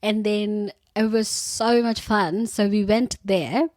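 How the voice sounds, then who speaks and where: A woman's voice: mid-range voice, steady tone, slow pace, darkish tone. Giggly Sri Lankan woman chatting. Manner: chatty and giggly, full of fillers. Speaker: podcast co-host. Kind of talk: casual chat.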